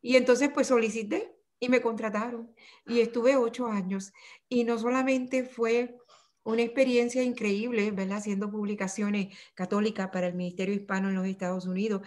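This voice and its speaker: A woman's voice: fairly high pitch, fairly even intonation, moderate pace, darkish tone. Older Puerto Rican woman, reflective. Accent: Puerto Rican Spanish. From Puerto Rico. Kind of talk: remote interview conversation.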